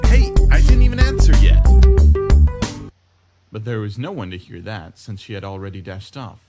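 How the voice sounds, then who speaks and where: Guy voicing low-pitched wry young hero, swingy intonation, visual novel, guitar music loud behind. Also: huge pitch swings, moderate pace. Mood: exasperated. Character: wry, rational, exasperated young male protagonist. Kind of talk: visual novel narration and dialogue.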